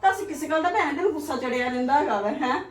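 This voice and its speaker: A woman playing a patient elder sister-in-law who calmly placates and reasons in a family drama. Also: sits high, fast, reverberant, far from the mic. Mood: coaxing.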